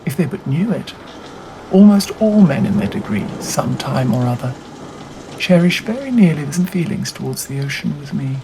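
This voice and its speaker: A narrator reading a classic novel aloud in a measured, deliberate way with dramatic phrasing. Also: mid-range voice, very animated, mellow, low-quality recording. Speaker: audiobook reader. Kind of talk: scripted literary narration. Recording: audible background noise.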